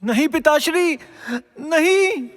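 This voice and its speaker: An actor playing a devoted young warrior prince in a Hindi mythological audio drama, earnest and formal, full of feeling. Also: male voice, very high voice, lively intonation, slow pace, a bit bright, heavily acted. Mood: anguished, pleading. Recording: long hall-like reverb.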